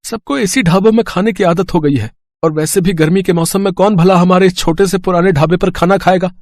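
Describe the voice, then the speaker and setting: A man's voice: high voice, fairly even intonation, brisk, darkish tone, dry recording. A man voicing a poor, worried roadside eatery owner in a storybook drama. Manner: worried, weary. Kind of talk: storybook voice acting.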